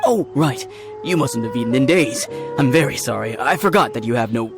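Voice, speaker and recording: An actor plays a well-off, courteous innkeeper in an anime game. He speaks earnestly at a middling pitch with a gravelly creak, over clear background music. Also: lively intonation, fairly quick, natural voice. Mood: apologetic. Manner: polite, earnest. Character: wealthy, polite male innkeeper, mild and grateful.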